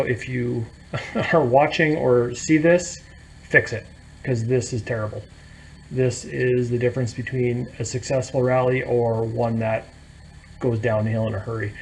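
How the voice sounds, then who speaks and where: A man with a creaky, gravelly voice walks through a hands-on tutorial off the cuff. He is plain-spoken and blunt, talking casually. Faint ambient noise sits behind him. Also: medium pitch, poor audio. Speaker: DIY tutorial presenter. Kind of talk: casual unscripted how-to explanation.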